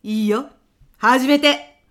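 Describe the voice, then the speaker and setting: A woman's voice: sits high, slow pace, a bit bright. A woman playing a whimsical, gossip-hungry female personnel manager in a comedy radio drama, with a melodramatic delivery. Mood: coy, expectant. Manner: melodramatic, refers to herself by surname.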